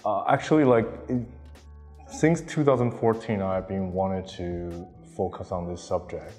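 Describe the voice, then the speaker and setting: Man in his 30s from Taiwan, very low voice, halting interview answers on a lapel mic, a long reverb tail and faint music behind. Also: fairly even intonation, darkish tone. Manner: hesitant, many ums and restarts. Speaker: interviewee artist.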